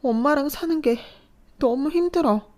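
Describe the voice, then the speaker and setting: A woman's voice: high-pitched, normal speed, a little mellow, pitched above the performer's own voice, lightly acted. A woman voicing a distressed grown daughter who pleads with trembling emotion in a spoken story. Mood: distraught. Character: distressed grown daughter pushed to her limit.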